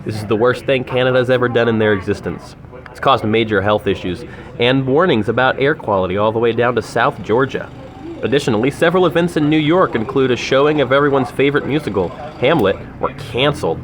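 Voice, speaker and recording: A man's voice: mid-range voice, fairly quick. A young man hosting a comic news segment with mock-formal, facetious delivery. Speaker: podcast segment host. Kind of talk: mock news anchor banter. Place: sushi restaurant. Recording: busy restaurant chatter behind.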